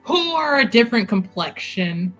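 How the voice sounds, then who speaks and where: A woman's voice: mid-range voice, sing-song, normal speed, soft background music, rough recording. A woman playing a tabletop roleplaying game, talking hesitantly with many fillers. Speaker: player. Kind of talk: casual tabletop roleplay chat.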